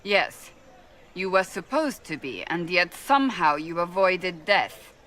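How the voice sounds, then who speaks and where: An actress playing a firm, direct, dutiful agent in a game cutscene. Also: female voice, on the low side, lively intonation, slow pace, a bit bright, a little hiss or hum, low-quality recording.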